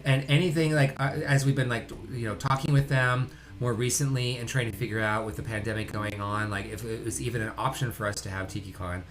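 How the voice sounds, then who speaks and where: A man co-hosting a casual livestream, talking in a laid-back, rambling way. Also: mid-range voice. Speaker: co-host of a livestream show. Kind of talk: casual chat.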